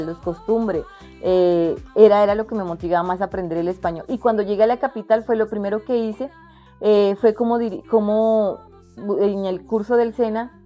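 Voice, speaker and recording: Young wayuu woman from la guajira, mellow, soft music underneath, earnest, halting with restarts. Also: medium pitch. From La Guajira, Colombia. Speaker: interviewee, artisan entrepreneur. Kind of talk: casual interview.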